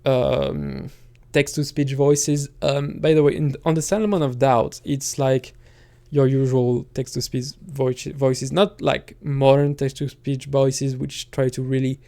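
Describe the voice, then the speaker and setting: A man speaks in a relaxed, dark-toned voice with plenty of vocal fry. He sits at a middling pitch and rambles casually, like a streamer alone on his channel. His delivery is halting and hesitant as he feels his way toward each thought. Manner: hesitant, halting, thinking aloud. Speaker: streamer and musician talking on own channel. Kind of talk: casual rambling solo talk.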